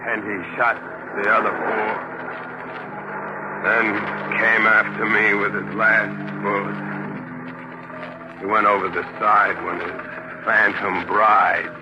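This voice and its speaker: An actor playing an earnest ship's officer, dramatic and emphatic, narrating and acting in a 1940s radio drama. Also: male voice, lowish voice, level tone, takes it slowly, long pauses, muffled recording, heavy background noise. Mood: grave, haunted. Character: earnest ship's officer recounting a haunted voyage. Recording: old 1940s radio recording.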